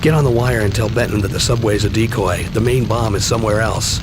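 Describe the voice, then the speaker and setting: A man's voice: on the low side, fast talker, background noise, lightly acted. An American man playing a gritty, determined government agent in a video game cutscene, with a clipped, businesslike delivery. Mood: urgent, focused. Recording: game music loud behind the voice.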